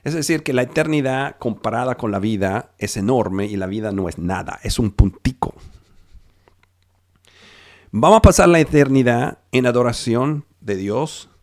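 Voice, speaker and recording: A male pastor preaching a sermon over a video call, in a slow, emphatic way with frequent restarts; Spanish is not his first language. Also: mid-range voice, lively intonation.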